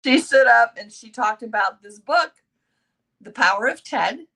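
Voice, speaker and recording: Bright, slightly raspy middle-aged woman. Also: a little high, animated, rough recording. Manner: halting, earnest, with many restarts. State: a little raspy from yelling. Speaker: sales consultant guest. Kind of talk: personal sharing on a video call. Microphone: video call.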